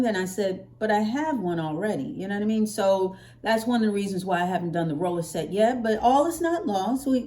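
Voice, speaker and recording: An American woman vlogger chatting casually to her viewers in a playful, expressive way, stretching words for emphasis. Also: mid-range voice. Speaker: hair care vlogger.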